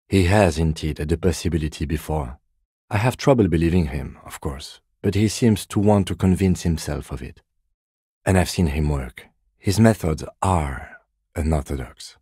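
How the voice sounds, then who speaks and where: A man playing a composed, dutiful police lieutenant in a video game, speaking in a measured, precise, formal and understated way. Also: a bass voice, warm tone, husky, dry recording, clean studio sound, full character voice. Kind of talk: scripted game dialogue.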